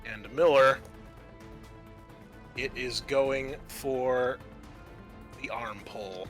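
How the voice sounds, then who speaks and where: A man's voice: mid-range voice, steady tone, drawn-out words, fairly bright tone. A man acting as game master, explaining rules and narrating a tabletop roleplaying game in a matter-of-fact, conversational way. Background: background music.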